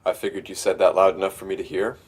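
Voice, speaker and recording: An actor playing a reserved security rookie in an audio drama, speaking in a terse, understated way. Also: male voice, sits low, level tone, fast, breathy edge. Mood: subdued, hesitant. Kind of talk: modern audio drama acting.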